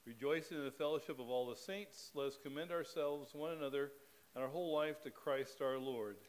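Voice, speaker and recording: A middle-aged man leading a spoken liturgy as a pastor, reading in a measured, steady cadence at a church pulpit microphone. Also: fairly high pitch.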